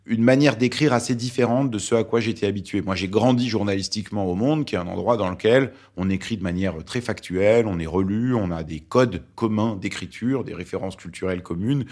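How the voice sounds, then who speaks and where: Give me a Lebanese-born man in his forties whose first language is Arabic, a journalist with a very deep voice, thinking aloud with fillers. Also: brisk, hardly any pauses, a little mellow. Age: 40s. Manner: thoughtful, hesitant with many fillers. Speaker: journalist guest. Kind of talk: interview conversation.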